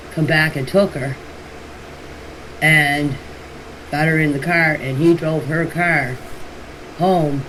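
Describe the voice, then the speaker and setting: Halting woman on a noisy voice clip. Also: sits low. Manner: halting, repetitive, plain-spoken phrasing. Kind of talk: casual voice message recounting events. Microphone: phone voice message. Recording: noisy voice clip, steady background hiss.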